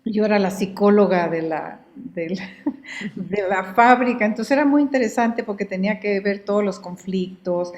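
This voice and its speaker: An older woman from Guatemala speaks as an interview guest, at an even middle pitch. She tells her story in an easygoing, conversational way. She often stops and repeats a word before going on. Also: highs cut off. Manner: unhurried, often repeats and restarts words.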